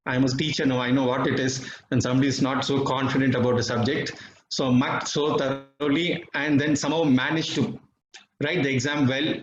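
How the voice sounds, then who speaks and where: Indian guy, mother tongue tamil, calm measured testimony, dull lo-fi sound w faint hiss. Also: on the high side. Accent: Indian English. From Bangalore, India. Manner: reflective, measured, with pauses. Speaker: devotee sharing experiences. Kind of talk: personal testimony talk. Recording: dull, slightly roomy recording with faint noise.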